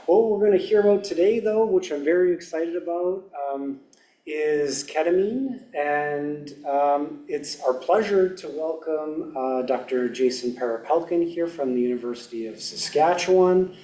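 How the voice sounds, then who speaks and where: A man hosting an event, introducing a guest speaker haltingly with many ums and uhs. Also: on the high side, warm tone. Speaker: event host. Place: lecture hall. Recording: echoey hall, mic far off.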